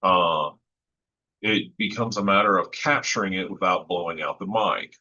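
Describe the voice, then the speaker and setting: A man with a low voice talking casually about recording vocals, thoughtful and rambling with lots of fillers and restarts. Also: moderate pace, pushed and bright, lo-fi sound. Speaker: musician discussing vocal recording. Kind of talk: casual podcast chat.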